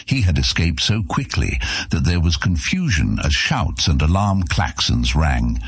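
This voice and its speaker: A man's voice: very deep voice, very animated, a little slow, breathy edge, dry recording. A man narrating an epic fantasy adventure serial as a scripted audiobook.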